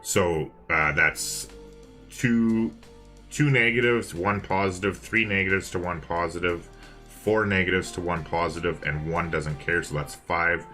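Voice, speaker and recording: A man's voice: sits low, steady tone, unhurried, bright, forceful tone, faint music behind. A man co-hosting a live game stream, chatting casually and thinking aloud to his viewers. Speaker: co-host of a livestreamed multiplayer strategy game.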